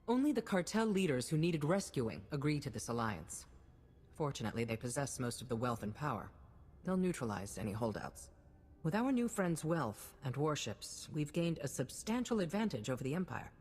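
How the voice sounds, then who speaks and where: An actress playing a shrewd, stern head of state in a science-fiction video game, with a formal, cutting, authoritative manner. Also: female voice, low-pitched, husky, heavily acted. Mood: stern, calculating. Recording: reverberant hall, music behind.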